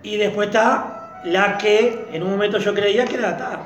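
A man's voice: high-pitched, normal speed, a bit bright, echoey room, faint music behind. A man with a Rioplatense Spanish accent, explaining his view at length in a Bible study group and thinking aloud.

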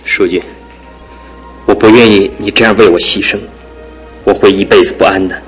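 An actor in a radio drama playing a despairing young man crippled in one leg, his talk swinging from hushed to anguished outbursts. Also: on the low side, steady tone, normal speed, soft background music, natural voice. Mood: remorseful. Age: young adult. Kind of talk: broadcast radio drama acting.

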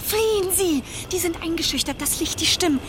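A woman's voice: very high voice, brisk, noisy background. An actress playing a fearless, protective young woman in a German radio play, giving urgent commands in short phrases. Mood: urgent, pleading. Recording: loud music behind the voice.

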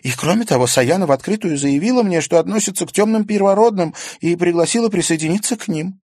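A male audiobook reader voicing an anxious, lovesick young female student, his voice pushed high and earnest. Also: fast talker, darkish tone, no room sound, clean studio sound.

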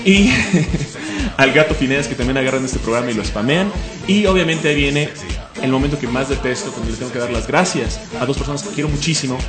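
Fast-talking Mexican man hosting radio. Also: mid-range voice, roomy sound, music in the background. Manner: fast, joking, enthusiastic, laughs often. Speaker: radio show host. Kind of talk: casual radio chat.

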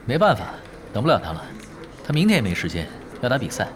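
A man's voice: medium pitch, huge pitch swings, quick pace, crowd noise. An actor playing a sly, shameless, laid-back young male gamer in a Chinese audio drama, teasing and mock-innocent. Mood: casual. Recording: music behind the voice.

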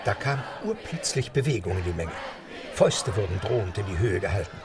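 A man narrating a radio play with measured storytelling. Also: on the low side, big pitch swings, a crowd in the background. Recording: music beneath narration.

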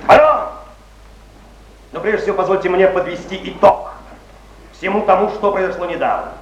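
A man's voice: high voice, normal speed, lightly acted. A man playing an agitated poet with wounded honour in a stage drama, declaiming with passion. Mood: bitter fury. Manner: impassioned, declamatory. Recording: echoey, muffled 1970s theatre recording, faint hiss.

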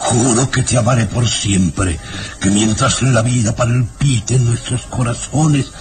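An actor playing an older, obsessed and menacing baron in a radio drama, slow and brooding, sometimes breaking into shouts. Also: male voice, mid-range voice, fairly even intonation, very noisy, natural voice. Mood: obsessive. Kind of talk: 1960s radio drama acting. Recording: radio drama with loud music bed.

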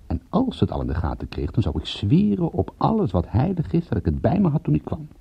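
An actor playing a wry private detective who narrates his own case in a husky, gravelly, sardonic voice for a radio drama. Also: mid-range voice, wildly swooping pitch, quick pace, exaggerated voice. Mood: wry, confiding. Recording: dull radio drama recording.

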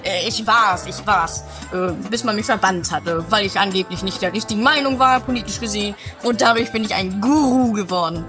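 A man playing a grumpy, banished guru in a comedy radio play, with a halting, dry, grumbling delivery. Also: high voice, over music, close to an everyday voice. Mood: halting, self-important.

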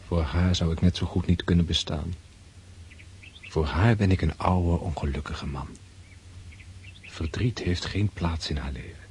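A man's voice: low-pitched, measured pace, long pauses, darkish tone, a little hiss or hum. An actor playing a brooding prince in his thirties, musing reflectively in a radio drama. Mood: melancholy, hushed. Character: brooding young Russian prince, weary yet reawakening.

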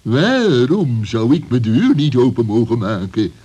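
A man voicing a sly, greedy fox in a children's radio play, with a husky, menacing and mocking manner. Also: medium pitch, sing-song, measured pace, mellow. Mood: suspicious, scoffing. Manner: menacing, mocking, swooping delivery with chuckles. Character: sly, greedy, bullying male fox villain.

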